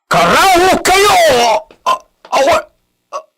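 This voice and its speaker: A man's voice: on the high side, very animated, measured pace, sharp tone, slight background noise, heavily acted. A man acting as an irritable, brooding master of a rural household in an Ilocano radio drama, given to muttering and outbursts. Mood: exasperated. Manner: exclamatory, swinging from muttering to outbursts.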